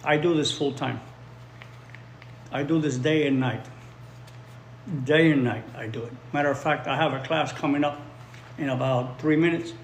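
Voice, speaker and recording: A man's voice: a little high, steady tone, normal speed, long pauses, a little hiss or hum. A man whose first language is Spanish, teaching English and chatting casually with viewers on a livestream, often repeating his phrases for learners. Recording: roomy echo, faint ambience.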